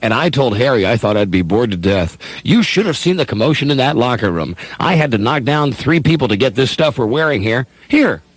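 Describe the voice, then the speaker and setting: A man's voice: mid-range voice, expressive, a little fast, few pauses, slightly rough, no room sound. A man playing an eager, folksy young small-town dreamer in a comedic script read, stammering as he goes. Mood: flustered, teasing. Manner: stammering, breaks off with asides. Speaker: radio show performer.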